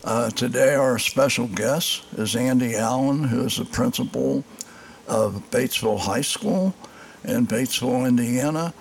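Older male podcast host, gravelly voice, halting delivery, faint background hiss. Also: medium pitch. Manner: halting, with many "uh" pauses. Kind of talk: casual interview.

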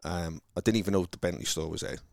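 A man from Wales with a Welsh accent, chatting casually about his sales career in a halting, rambling way, with many restarts. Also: deep voice, gravelly, darkish tone, dry recording, clean studio sound. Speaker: car salesman guest. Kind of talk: casual conversation.

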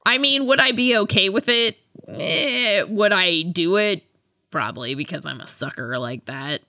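A woman's voice: mid-range voice, measured pace, pushed and bright, no echo, studio quality. A woman co-hosting a podcast in casual fan chat, chatty and rambling, often hedging and trailing off. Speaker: podcast co-host.

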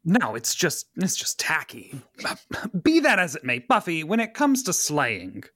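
A man's voice: fairly high pitch, huge pitch swings, a little slow, a bit bright, no room sound, clean studio sound, heavily acted. A man playing a fussy, bookish British mentor in a script table read, precise and dryly exasperated. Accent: put-on British.

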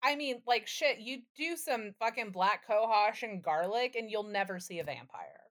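A woman's voice: medium pitch, animated, moderate pace, a bit bright. Chatty, irreverent woman podcaster. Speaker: podcast co-host. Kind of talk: casual, profane podcast chat.

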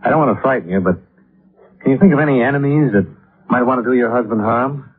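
An actor in a 1950s radio detective drama playing a businesslike investigator who questions and narrates in a clipped, courteous, matter-of-fact way. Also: male voice, mid-range voice, fast talker, husky, close to an everyday voice. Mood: probing. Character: businesslike, probing male investigator narrating his case. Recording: muffled old radio broadcast.

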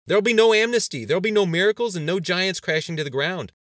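Man playing frantic male politician, raised high voice, incredulous, scornful, loud audiobook argument. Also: lively intonation, quick pace, no room sound, clean studio sound. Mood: heated. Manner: shouting, incredulous, mocking. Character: panicked, scornful male cabinet member arguing in dread. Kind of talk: dramatized audiobook dialogue.

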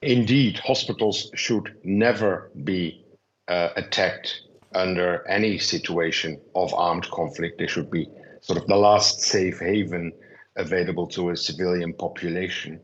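A man from the Netherlands giving formal, measured interview answers as a guest expert, repeating words for emphasis. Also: sits low, steady tone, muffled recording. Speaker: interview guest, policy advisor.

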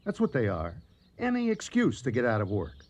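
Blustering engineer with wildly swinging pitch. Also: male voice, huge pitch swings, a little fast. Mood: angry, scornful. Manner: agitated, emphatic, insistent. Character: stubborn, blustering colonial railroad engineer, quick to anger. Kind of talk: radio drama acting.

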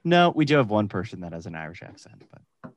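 A man co-hosting a casual podcast, animated and laughing, bursting into loud playful voices. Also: on the low side, fast, muffled recording. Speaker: podcast co-host. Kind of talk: casual chat.

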